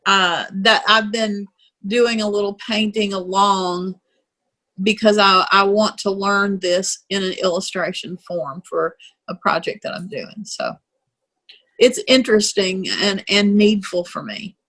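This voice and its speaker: Woman, mid-pitch, art class participant, thoughtful and earnest, video call audio. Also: measured pace, a bit bright. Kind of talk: casual discussion.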